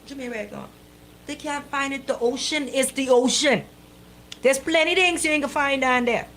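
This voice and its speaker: A woman's voice: high-pitched, normal speed, a bit bright, slight background noise. A woman co-hosting a radio talk show in casual, opinionated banter, speaking emphatically in colloquial phrasing and often repeating her points.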